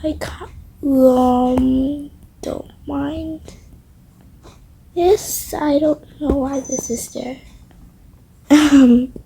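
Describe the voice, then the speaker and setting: A woman's voice: high voice, fairly even intonation, very slow, creaky, pauses often, mellow, low-quality recording. A female video creator improvising a roleplay aloud, often dropping into hesitant, half-whispered asides to herself. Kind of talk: improvised game roleplay narration. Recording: faint background noise.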